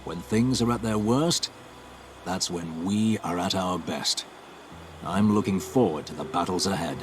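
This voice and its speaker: Low-voiced, genteel soldier. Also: male voice, noisy background. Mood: resolute, hopeful. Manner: courteous, reflective, measured. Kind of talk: video game cutscene monologue. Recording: background music under the voice.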